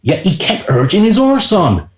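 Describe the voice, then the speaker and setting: A man's voice: high voice, wildly swooping pitch, normal speed. A man playing a wry royal groom who narrates a comic radio play in a theatrical style, with an echoing sound. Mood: wry, scornful. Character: wry male royal groom telling the tale.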